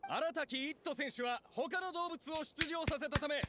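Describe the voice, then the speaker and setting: An actor playing a courteous, formal tournament referee in an anime fantasy game, making official announcements. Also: male voice, extremely high pitch, fast talker, sharp tone, a little hiss or hum.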